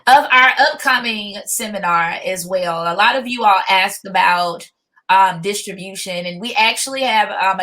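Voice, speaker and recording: A woman's voice: medium pitch, fairly bright tone. A woman hosting a seminar, making informal announcements in a polite, improvised way. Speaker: host, moderator.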